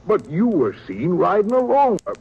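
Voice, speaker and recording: A hesitant frontier preacher, husky and breathy, lively pitch, on a muffled old radio recording. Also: male voice, normal speed, full character voice. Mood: flustered. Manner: hesitant, stammering. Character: nervous small-town preacher, man, middle-aged. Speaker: reverend. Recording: dull 1950s radio transcription.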